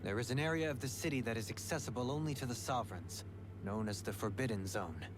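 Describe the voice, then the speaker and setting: An actor playing a composed, erudite young nobleman in a video game, speaking in a formal, measured, articulate way. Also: mid-range voice, background noise, close to an everyday voice. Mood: composed, explanatory.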